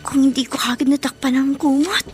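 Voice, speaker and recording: An actress in a Filipino radio drama playing a shy, secretive teenage housemaid, polite and timid in manner. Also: female voice, sits high, fairly even intonation, fairly quick, a little mellow, faint music behind, natural voice. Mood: secretive, anxious. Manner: timid, stammering, deferential.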